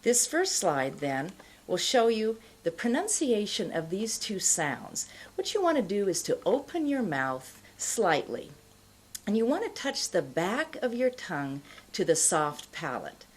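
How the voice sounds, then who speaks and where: A middle-aged woman giving an instructional pronunciation lesson, explaining in a deliberate, carefully enunciated way. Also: medium pitch, sing-song, slightly rough. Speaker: pronunciation teacher. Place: indoor studio, plain backdrop.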